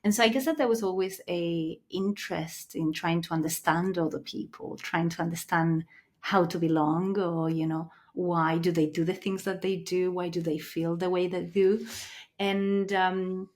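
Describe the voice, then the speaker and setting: Lower-voiced woman. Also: fairly even intonation, moderate pace, darkish tone. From Canary Islands, Spain. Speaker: podcast guest, clinical psychologist. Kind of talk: reflective conversational interview answers.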